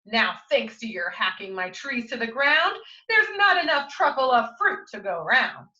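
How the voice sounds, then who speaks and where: Female reader as snappish storybook forest guardian, scolding in rhyme, bright and forceful, roomy recording. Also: a little high, sing-song, unhurried, heavily acted. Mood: indignant. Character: indignant, scolding old forest creature, small nagging guardian. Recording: roomy, slightly dull, distant microphone.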